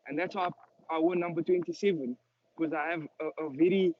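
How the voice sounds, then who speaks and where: Young cape town south african guy, footballer getting interviewed, really high voice, sincere, dull audio. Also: fairly even intonation, brisk, slight background noise. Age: early 20s. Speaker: professional footballer being interviewed. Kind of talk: casual earnest interview answers. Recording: dull lo-fi sound.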